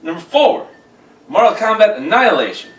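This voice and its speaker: Echoey distant mic, bright forceful man, hugely varied pitch, casual off-the-cuff panelist. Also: big pitch swings, moderate pace, background noise. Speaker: podcast panelist. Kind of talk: casual, off-the-cuff group chat. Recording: echoey room, distant microphone.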